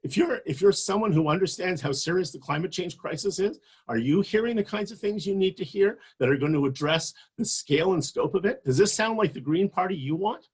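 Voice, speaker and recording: Man in his sixties, husky voice, passionate, emphatic political speech. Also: high-pitched, lively intonation, a little fast, few pauses. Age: 60s. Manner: passionate, emphatic, rising to forceful peaks. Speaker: political candidate.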